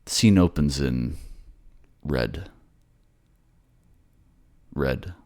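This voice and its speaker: A man's voice: a bass voice, very slow, darkish tone. A man reading scripted second-person narration for a fantasy audio drama. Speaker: narrator and voice actor.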